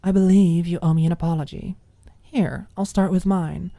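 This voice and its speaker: A woman's voice: lowish voice, steady tone, moderate pace, warm tone, no room sound, close to an everyday voice. A woman voicing a cocky, combative young college student who talks in a blunt, mocking way.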